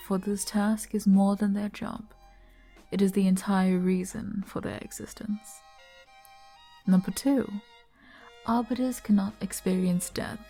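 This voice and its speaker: A woman playing a flirty, gentle arbiter bartender in an ASMR roleplay, soft-spoken and coy as she explains things patiently. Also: medium pitch, husky.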